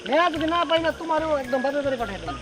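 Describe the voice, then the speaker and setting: A man's voice: very high-pitched, expressive, rapid-fire, noisy background. A man playing an angry, blaming village father in a comedy drama, with a Bangladeshi accent. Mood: accusing. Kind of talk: Bengali web comedy drama acting. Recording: music under the voice.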